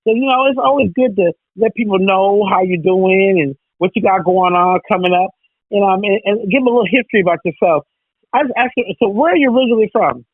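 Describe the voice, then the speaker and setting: A woman's voice: mid-range voice, fast, husky, no room sound. A woman hosting a radio interview, chatty and full of "you know". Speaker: radio host. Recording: tinny phone line.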